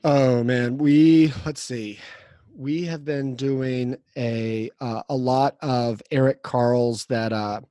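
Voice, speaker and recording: Halting, informal male podcast host. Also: mid-range voice, steady tone, mellow, no echo, studio quality. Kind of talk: casual chat.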